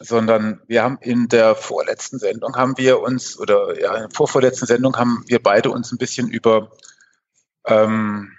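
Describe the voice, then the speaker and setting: A man with a husky voice co-hosts a podcast and talks in a loose, playful way with plenty of restarts. The audio is slightly dull and lo-fi. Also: medium pitch, fairly even intonation, normal speed. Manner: playful, hypes guests, rambling restarts. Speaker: podcast co-host. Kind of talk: casual podcast conversation.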